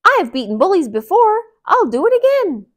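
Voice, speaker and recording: A woman's voice: very high-pitched, sing-song, moderate pace, voice pushed up. A woman voicing a brave, spirited young male woodcutter in a children's storybook. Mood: bold, determined.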